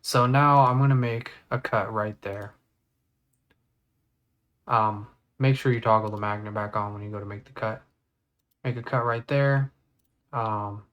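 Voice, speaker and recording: A man giving a casual step-by-step software tutorial, informally thinking aloud as he works. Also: mid-range voice, muffled recording. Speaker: tutorial creator. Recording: clear background noise, mouse clicks.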